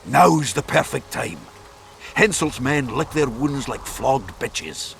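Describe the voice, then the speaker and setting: An actor playing a gruff, foul-mouthed dwarf commander in a fantasy video game, blunt and crude. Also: male voice, medium pitch, animated, slow, a bit bright, slight background noise, exaggerated voice. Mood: sardonic, boastful. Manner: blunt, crude, barking orders.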